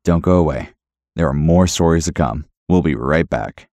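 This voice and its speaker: Very deep-voiced male host. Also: normal speed, darkish tone, no room sound. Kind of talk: scripted show announcement.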